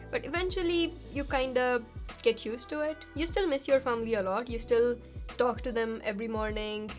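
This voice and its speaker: Young female vlogger, slightly high pitch, chatty and laughing, faint music bed. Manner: chatty, laughs easily, reads questions aloud then answers. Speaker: YouTuber answering viewer questions. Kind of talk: casual Q&A vlog.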